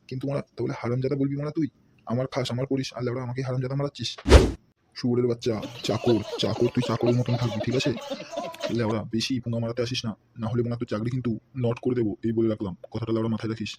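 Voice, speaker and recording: A man's voice: mid-range voice, flat delivery, racing, noisy background, natural voice. A man voicing a middle-aged politician in a comedy cartoon, a crude, domineering father with a West Bengal accent. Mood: outraged. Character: blustering middle-aged male politician, doting but bullying father. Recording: music behind the voice.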